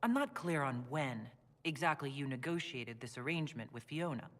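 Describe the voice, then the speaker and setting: A man's voice: mid-range voice, lively intonation, fairly bright tone, lightly acted. Man as a composed hero in a reverberant hall. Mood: composed, probing. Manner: measured, direct, diplomatic. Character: composed, forthright fantasy hero questioning a negotiator. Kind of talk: video game cutscene acting.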